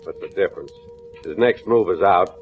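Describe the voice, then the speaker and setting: An actor playing a cranky, sarcastic police captain in an old-time radio crime drama, gruff and dismissive. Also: male voice, low voice, moderate pace, close to an everyday voice. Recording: dull vintage radio recording with music.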